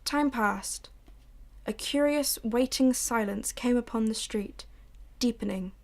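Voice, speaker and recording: Young woman narrating with vocal fry. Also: on the high side. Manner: measured, brooding storytelling. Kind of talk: scripted dramatic narration.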